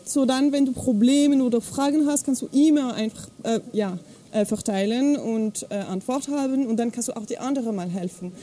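French-Swiss woman, halting German. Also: on the high side, mellow. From French-speaking Switzerland. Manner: halting, searches for words, many fillers. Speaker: guest, travel blogger. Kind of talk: casual interview chat. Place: outdoors at an evening camp.

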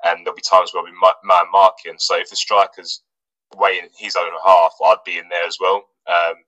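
A man's voice: deep voice, a little fast, no room sound, lo-fi sound. A man, a footballer from England, talking casually in an interview with lots of fillers and restarts. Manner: relaxed, hesitant, many fillers and restarts. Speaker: footballer guest.

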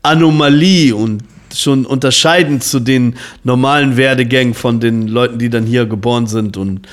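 Rambling German interview host. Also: male voice, medium pitch, normal speed. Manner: rambling, many fillers and restarts. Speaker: podcast host. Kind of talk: casual interview chat.

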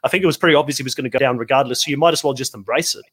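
A man's voice: mid-range voice, very fast, a bit bright. A man, an Afrikaans speaker, hosting a casual panel discussion. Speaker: host.

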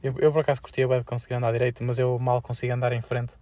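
A man's voice: mid-range voice, little pitch movement, rapid-fire, dark tone. Young Portuguese man, casual and slangy. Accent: European Portuguese. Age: young adult. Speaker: podcast co-host. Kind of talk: casual unscripted chat.

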